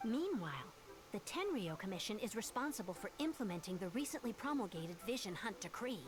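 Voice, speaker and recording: A woman playing an earnest young female refugee in a video game, telling things in a measured, sincere way. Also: medium pitch, sing-song, lightly acted. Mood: grave. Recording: game music behind the voice.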